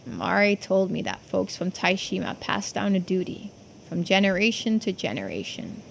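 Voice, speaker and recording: A woman's voice: medium pitch, sing-song, moderate pace, creaky, a little hiss or hum. A woman voicing a folksy, earnest islander who explains old secrets in video game dialogue.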